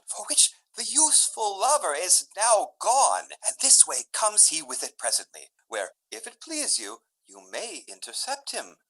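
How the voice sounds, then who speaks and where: A man playing a scheming young gentleman in a Shakespearean radio drama, theatrical and deferential. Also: on the high side, full character voice, rough recording. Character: scheming young gentleman feigning reluctant loyalty.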